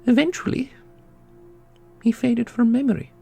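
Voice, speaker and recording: A woman playing an ancient female custodian in a fantasy audio drama, dignified and sorrowful, speaking in a formal, measured, gentle way. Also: fairly high pitch, steady tone, creaky, husky, soft background music. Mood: sorrowful, solemn.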